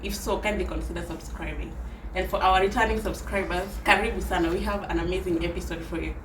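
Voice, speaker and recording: A woman's voice: lowish voice, fairly even intonation, fast talker. A Kenyan woman hosting a talk channel, opening the show in an upbeat, welcoming presenter voice. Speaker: show host. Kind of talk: channel intro and interview. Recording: echoey room with background noise.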